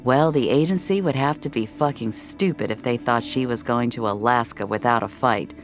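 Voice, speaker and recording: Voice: medium pitch, normal speed, faint music behind. A narrator reading scripted audio fiction in a wry, hard-boiled storytelling manner.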